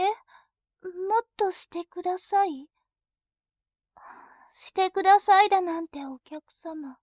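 Woman voicing a high, slow-spoken haughty bank clerk. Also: no room sound, studio quality. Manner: very formal polite speech, slow and deliberate. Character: composed female bank clerk, dominating and scornful. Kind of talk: adult audio drama acting.

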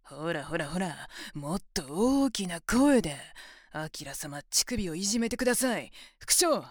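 A woman's voice: mid-range voice, sing-song, unhurried, dark tone, no echo. A woman voicing a domineering, mocking female examiner in an ASMR roleplay, taunting and commanding in a close whisper. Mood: harsh commanding. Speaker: voice actor in an audio roleplay.

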